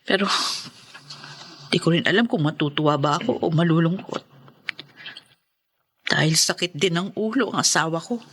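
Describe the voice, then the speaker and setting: Resigned Filipino drama widow. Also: female voice, lowish voice, moderate pace, long pauses, breathy edge. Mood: resigned, conflicted. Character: conflicted, resigned new widow. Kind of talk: Filipino radio drama acting.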